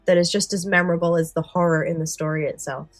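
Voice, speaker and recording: A woman's voice: lowish voice, fairly even intonation, fast talker, no room sound, soft background music. A woman co-hosting a podcast, explaining a favourite subject with enthusiasm, moving between prepared summary and casual discussion. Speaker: podcast co-host.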